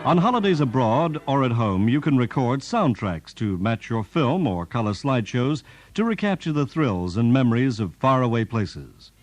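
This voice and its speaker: A man's voice: medium pitch, sing-song, normal speed. A man reading scripted 1960s instructional narration in a formal, explanatory manner. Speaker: announcer.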